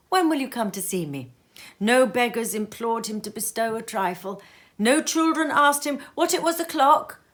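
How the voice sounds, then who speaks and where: A woman reading a classic story aloud at home in her sitting room, slow and expressive, sometimes dropping to a whisper. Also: medium pitch.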